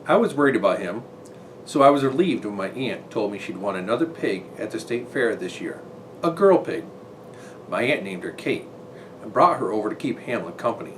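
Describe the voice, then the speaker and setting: A man's voice: on the low side, lively intonation, a little fast, slight background noise, rough recording. A man on a school staff reading a children's story and its verse aloud with expression.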